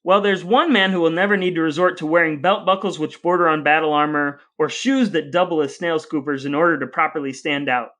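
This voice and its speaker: Higher-voiced man hosting a wry podcast. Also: fairly quick, few pauses, pushed and bright. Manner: wry, tongue-in-cheek. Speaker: podcast host. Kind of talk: semi-scripted podcast narration and interviewing.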